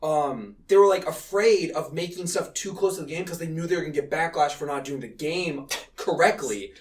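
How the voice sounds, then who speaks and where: A man's voice: fairly high pitch, brisk, distant mic. A man co-hosting a casual movie podcast, ranting and swearing with joking exasperation. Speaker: podcast co-host.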